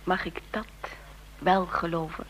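A woman playing a gentle, sympathetic young employee of an intelligence bureau in a radio drama, speaking softly and with some hesitation. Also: lowish voice, flat delivery, a little slow, a little hiss or hum, poor audio. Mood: tender, reserved. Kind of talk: Dutch radio drama acting.

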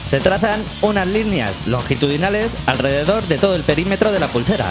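A man presenting a spoof craft how-to in an upbeat, instructional way. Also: fairly high pitch. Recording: with drum music behind.

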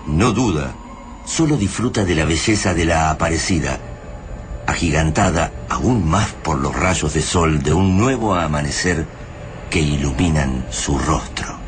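A man's voice: very deep voice, expressive, unhurried, over music. An actor with an Argentine accent playing a solemn, literary male narrator in a sci-fi radio drama. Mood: solemn, suspenseful. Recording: clearly noisy ambient sound bed. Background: noisy ambience.

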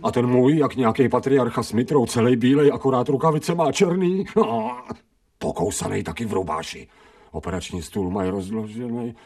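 An actor in a radio drama playing a grumbling, cynical stray dog who thinks aloud like a human in colloquial speech. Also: male voice, medium pitch, animated, creaky, a little mellow, breathy edge. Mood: anxious. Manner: colloquial Czech, muttering, pleading when frightened. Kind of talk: radio drama acting, inner monologue. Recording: music behind the voice.

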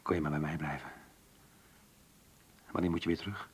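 An actor playing an earnest, troubled married man in love in a radio drama, speaking in a measured, confessional way. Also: rumbling low, steady tone, breathy edge, no room sound. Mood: pleased, tentative.

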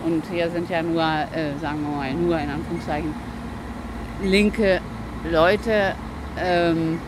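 A man with a fairly high voice explains himself colloquially in a street interview. Cars and city noise are clearly heard around him. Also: steady tone, takes it slowly. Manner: colloquial, uses tag words. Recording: noisy outdoor street with cars.

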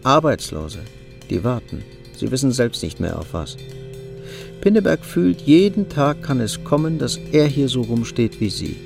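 An actor narrating a German radio play as a wry storyteller. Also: male voice, medium pitch, very animated, unhurried, vocal fry, music in the background. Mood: somber.

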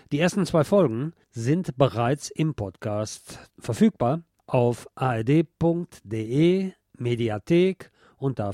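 A man's voice: medium pitch, dark tone, dry recording. A man hosting a radio show, presenting informally in a conversational, recommending way on a close microphone.

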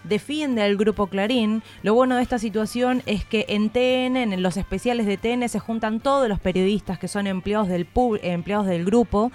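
A woman's voice: mid-range voice, moderate pace, hardly any pauses, a little mellow. A woman with an Argentine Spanish accent hosting a radio talk show, giving political commentary in conversation. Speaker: radio host.

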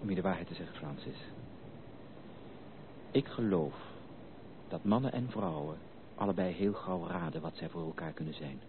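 Reserved low-voiced gentleman, tinny recording. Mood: measured, sincere. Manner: measured, formal, courteous. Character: principled, reserved gentleman suitor, patient listener. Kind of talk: Dutch radio drama acting. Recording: narrow tinny old radio recording, faint hiss.

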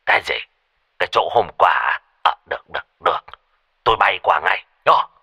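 A man's voice: low-pitched, lively intonation, normal speed, vocal fry, sharp tone, breathy edge, no room sound, exaggerated voice. A man playing a pompous, brusque village sorcerer who bosses people around. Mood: brusque, urgent. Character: blustering, foul-mouthed older male village sorcerer.

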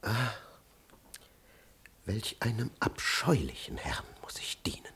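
An actor playing a servile, reluctant manservant in a classic radio play, muttering his disapproval in hushed asides. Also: fairly low pitch, sing-song, takes it slowly, whispered. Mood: despairing, hushed. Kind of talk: 1950s German radio play acting.

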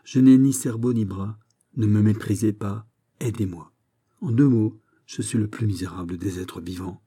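A man's voice: fairly low pitch, moderate pace, dark tone, slightly rough. Man acting an anguished, lovesick young nobleman. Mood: dejected. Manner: earnest, melodramatic, polite. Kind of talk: dramatised audiobook of a historical novel.

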